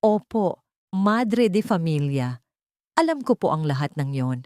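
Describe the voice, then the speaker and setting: Meek, wounded wife for audio drama, woman narrator acting; hushed, sorrowful, quietly bitter, dry sound. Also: on the low side, sing-song, measured pace, no echo. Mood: meek, pleading. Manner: hushed, near-whispering, sorrowful.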